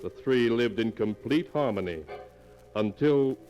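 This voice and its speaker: An actor serving as the grave storyteller of an old radio mystery, in scripted dramatic narration. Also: male voice, medium pitch, lively intonation, a little slow, dark tone, highs cut off, soft background music, natural voice. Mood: ominous. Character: male storyteller of a mystery tale, grave, measured.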